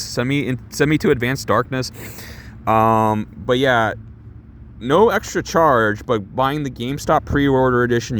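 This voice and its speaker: Excitable young man ranting over an unboxing. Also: medium pitch, a little hiss or hum. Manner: excitable, rambling, swears, laughs at himself. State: losing his voice. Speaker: gaming YouTuber doing an unboxing. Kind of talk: casual unboxing rant to chat.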